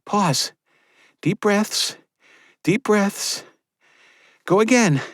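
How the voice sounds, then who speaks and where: A high, forceful woman at a bedside birth, barking orders and pleading for her patient to keep going, as a deep-voiced male narrator puts her on. Her pitch leaps well above his own and stays strained. Close, dry storybook reading. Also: animated, extremely slow, no echo, heavily acted. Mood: commanding. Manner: urgent, pleading, commanding. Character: woman delivering a baby, urgent and commanding.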